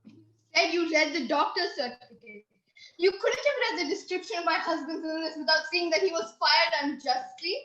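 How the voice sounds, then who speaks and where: A very high-pitched stubborn wife in a stage farce, wailing her grievances at officials. She is pushy, plaintive and melodramatic, heard in a roomy, slightly muffled recording. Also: normal speed, pushed and bright. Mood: wounded, pleading. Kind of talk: comic stage-play acting. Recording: roomy, band-limited recording.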